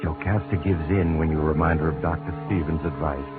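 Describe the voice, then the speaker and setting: Male suspense narrator, extremely low, insinuating, vintage radio band with music underneath. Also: phone-quality sound. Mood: sinister. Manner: sinister, knowing, deliberate second-person address.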